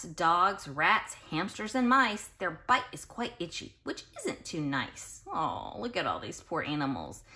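A woman reading a children's picture book aloud in an expressive, sing-song way, speaking directly to young listeners. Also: fairly low pitch. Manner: pauses to explain and question listeners directly. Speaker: children's read-aloud host.

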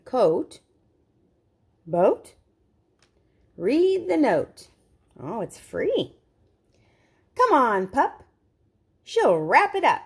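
A woman's voice: a little high, huge pitch swings, drawn-out words, gravelly, pauses often, slightly rough. A woman reading a picture book aloud to young children, expressively, with simple explanatory asides. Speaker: children's read-aloud host.

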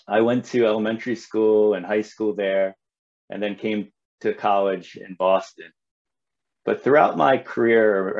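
Guy w a lowish voice reading prepared remarks, earnest, kinda muffled video call sound. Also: darkish tone. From southern New Jersey. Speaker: nonprofit CEO, panelist. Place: home room on a video call.

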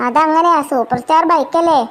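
A performer voicing a bossy, quick-tempered elder sister in a comedy cartoon, with curt, scolding delivery. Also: sits high, fairly bright tone. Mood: scolding, impatient. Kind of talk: Malayalam comedy cartoon dialogue.

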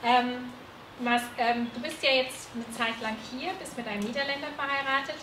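A woman's voice: sits high, steady tone, moderate pace. A woman moderating a live panel discussion, hesitant with many fillers. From Turkey. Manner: hesitant, many fillers, laughs at own slips. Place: seminar room with a panel. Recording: echoey room, background noise.

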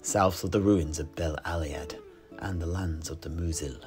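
Male storyteller, low pitch, theatrical, dramatic pauses, fantasy tale, light string music. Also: steady tone. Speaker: storyteller, in-character tavern keeper. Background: plucked-string music.

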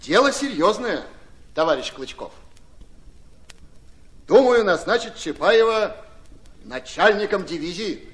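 An actor playing a courteous, businesslike Red Army commander in a radio drama, probing with authority. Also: male voice, high-pitched, lively intonation, a bit bright. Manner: brisk, questioning, authoritative.

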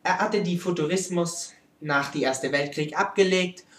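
A woman's voice: lowish voice, normal speed, lightly acted. A woman playing a vain, theatrical male French artist with a French accent, presenting a comic art history lecture in broken German. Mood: lecturing. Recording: roomy, echoing amateur recording.

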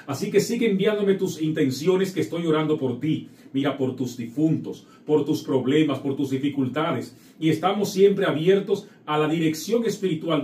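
Priest guy doing mass, voice a bit high, lots of room echo. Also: a little mellow. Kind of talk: liturgical prayer, scripture reading and address to the faithful. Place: indoor chapel set at an altar. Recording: echoey room, distant microphone.

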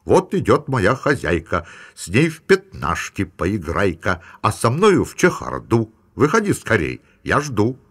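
A man's voice: lowish voice, wildly swooping pitch, unhurried, hardly any pauses, fairly bright tone, breathy edge, exaggerated voice. A man doing a bossy prickly hedgehog. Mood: insistent.

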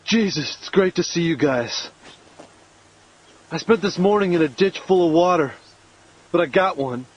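A man's voice: on the high side, animated, moderate pace, pauses often, highs cut off. A man playing a talkative young American paratrooper in a war game, chatty and wry. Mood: relieved, chatty.

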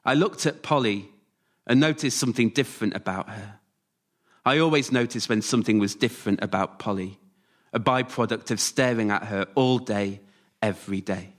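A man performing a scripted autobiographical story live, delivered in measured short phrases. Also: fairly low pitch. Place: radio theatre stage, before a live audience.

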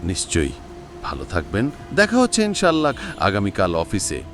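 Man acting a generous businessman, swinging pitch. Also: huge pitch swings, normal speed, fairly bright tone, faint music behind. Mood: warm farewell. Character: generous, grateful wealthy businessman, adult man.

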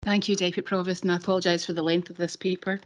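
Flat-toned woman presenting a report. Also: fairly low pitch. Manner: measured, with frequent ums and restarts. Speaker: leisure trust officer presenting a report. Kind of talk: formal council presentation.

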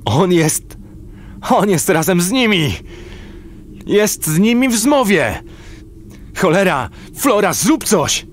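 An actor playing a distrustful, hot-tempered veteran commando in a sci-fi audio drama, speaking emotionally and haltingly. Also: male voice, high-pitched, very animated, a little hiss or hum, exaggerated voice. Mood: alarmed.

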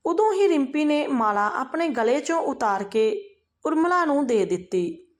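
A woman narrates an emotional family story from a script. Her voice sits on the high side and moves with very animated intonation, giving the reading an expressive, dramatized feel. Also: unhurried.

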